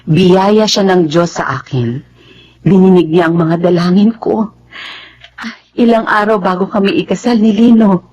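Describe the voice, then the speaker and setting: A woman acting a devoted middle-aged wife and mother in a Filipino radio drama, with emotional, expressive delivery. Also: medium pitch, a little slow, husky, muffled recording. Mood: grateful, warm.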